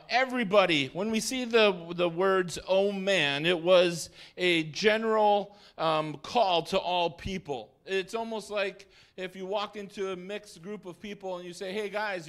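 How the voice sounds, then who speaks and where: A man's voice: high voice, fairly bright tone. A man preaching a sermon to a congregation in a plain-spoken, conversational way, using short, simple phrases. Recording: roomy echo, distant mic, faint noise.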